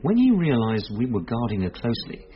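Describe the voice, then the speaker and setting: An actor playing a calm, reassuring police detective who explains things gently in a radio drama. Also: male voice, mid-range voice, a little fast, noisy background. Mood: matter-of-fact. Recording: slightly dull radio recording.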